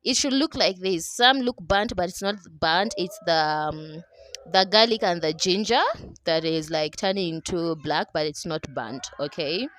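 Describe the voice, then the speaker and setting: A woman's voice: on the low side, measured pace, fairly bright tone, slight background noise. A young Kenyan woman whose first language is Dholuo, talking casually with a Kenyan accent as she narrates a cooking vlog over her own footage and keeps checking in with "okay?". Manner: chatty, laughing, keeps asking "okay?". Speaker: cooking vlogger. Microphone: voice-over mic.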